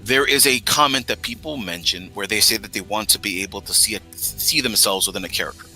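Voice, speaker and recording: A man's voice: fairly low pitch, fairly quick, pushed and bright, faint music behind, rough recording. A man from the United States talking in casual chat, explaining steadily with stammering restarts.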